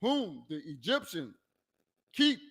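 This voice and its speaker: A man reading Bible verses aloud in a formal, measured and deliberate way, phrase by phrase, with long reverb. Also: high-pitched, sing-song, fairly bright tone, slightly rough. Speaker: scripture reader assisting the preacher. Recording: long hall reverb, distant-sounding.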